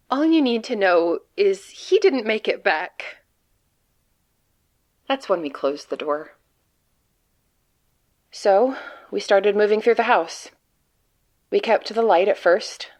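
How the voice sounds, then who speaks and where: Animated, jaded female field agent, acted. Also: mid-range voice. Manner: blunt, sardonic, profane. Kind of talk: dramatic first-person monologue, horror audio drama.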